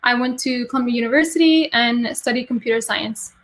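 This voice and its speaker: Woman, high bright voice, brisk self-introduction, video call. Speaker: software engineer, panelist. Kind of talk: panel introduction.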